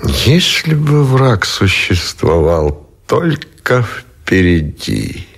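An actor playing a weary, brooding admiral and supreme commander in a radio drama, speaking deliberately with heavy pauses. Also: male voice, low-pitched, sing-song, gravelly, slightly rough, heavily acted. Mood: bitter, anguished.

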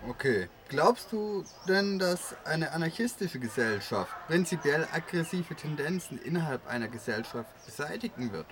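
A man's voice: on the high side, big pitch swings, normal speed, hardly any pauses. A man playing a sceptical interviewer who puts probing questions in a scripted radio-play discussion. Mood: questioning, conceding. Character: sceptical male interviewer probing a political idea. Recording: clear background noise and ambience.

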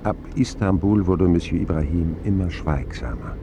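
A man's voice: sits low, animated, moderate pace, vocal fry, background noise. An actor playing a grown man who reflectively narrates his boyhood journey in a radio drama. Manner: reflective, storytelling. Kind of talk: scripted first-person radio drama narration.

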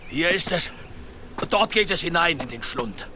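Grim village blacksmith, fairly high-pitched. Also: male voice, lively intonation, unhurried. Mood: sombre, strained. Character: grim, grieving, impatient village blacksmith bent on revenge. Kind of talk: German radio play acting. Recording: noisy outdoor ambience behind voice.

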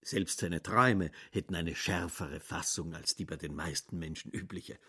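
A man giving calm, measured literary narration in a radio play. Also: deep voice, husky.

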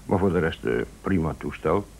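Een acteur in een Nederlands hoorspel speelt een nuchtere radiomonteur die beleefd, precies en stellig uitleg geeft. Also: male voice, extremely low pitch, brisk, gravelly, a little mellow, full character voice. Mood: matter-of-fact. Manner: polite, precise, certain. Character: matter-of-fact radio repairman, confidently explaining. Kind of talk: Dutch radio play acting.

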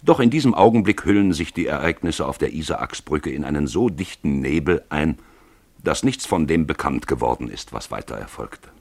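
A man's voice: very deep voice, lively intonation, normal speed, creaky, a little mellow, no echo. A man narrating a literary tale for a radio play in a dramatic storytelling style.

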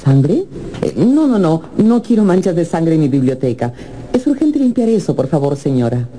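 A woman's voice: low voice, big pitch swings, normal speed, dark tone, soft background music. An actress playing an elegant, fussy and imperious mother in a radio drama, refined and commanding. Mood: fussy, alarmed.

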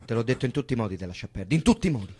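Defensive Roman betrayer, wildly varying pitch. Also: male voice, big pitch swings, racing, dark tone, slightly rough, no room sound. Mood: defensive regret. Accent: Roman Italian. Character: guilty, defensive male betrayer, worn down. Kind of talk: stage drama acting.

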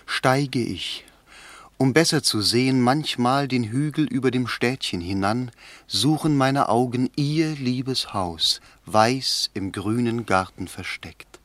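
Young lovelorn poet, slow and intense, dry sound, german radio play. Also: male voice, mid-range voice, a bit bright, dry recording. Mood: fervent, adoring. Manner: slow, fervent, declamatory. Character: melancholy, lovesick young poet and newspaper writer.

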